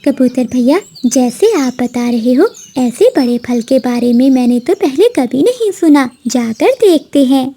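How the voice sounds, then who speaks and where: A woman plays a polite, careful little lady sparrow in a children's cartoon. Her voice is high and melodic in its swings, with slow, gentle delivery. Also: lively intonation, exaggerated voice. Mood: curious. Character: gentle, cautious female sparrow, sisterly and polite. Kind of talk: children's cartoon story acting.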